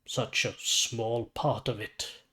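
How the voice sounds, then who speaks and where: A man voicing a calm, weary, ancient supervillain who guides the dead, speaking in a measured, formal way. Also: mid-range voice. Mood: calm, unbothered. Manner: measured, formal, matter-of-fact.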